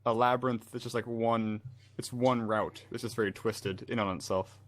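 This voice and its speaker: A relaxed man chatting and laughing while watching a movie with friends over a voice call. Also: lowish voice, moderate pace. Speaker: co-host watching a film. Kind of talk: casual group commentary over a movie.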